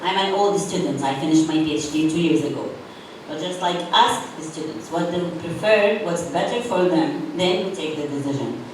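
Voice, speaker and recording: A woman's voice: sits low, moderate pace. A woman from Syria, an English speaker in her thirties, making an earnest comment during a university panel discussion. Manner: earnest, non-native phrasing. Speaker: audience member. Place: university auditorium. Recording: echoey hall, distant mic, noisy.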